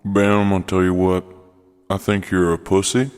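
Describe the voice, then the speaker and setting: A man playing a surly, rambling male road comic who recites absurd poetry in a deadpan drawl, for a comedic radio play. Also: deep voice, moderate pace, smooth, voice pushed down, natural voice. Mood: blunt. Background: loud music.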